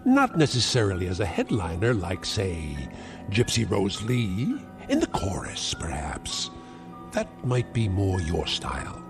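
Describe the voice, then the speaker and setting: An actor playing a cruel, sarcastic elderly uncle who is a scientist, taunting with mocking, theatrical insults. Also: male voice, fairly low pitch, wildly swooping pitch, a little slow, music in the background, heavily acted. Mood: sneering. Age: older. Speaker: domineering uncle. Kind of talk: 1960s TV drama acting.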